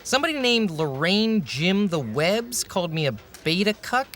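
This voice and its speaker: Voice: mid-range voice, sing-song, normal speed, a bit bright. An actor playing a naive, polite young male newsroom junior with a puzzled, innocent manner in a radio comedy. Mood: innocently puzzled. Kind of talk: 1930s radio comedy acting.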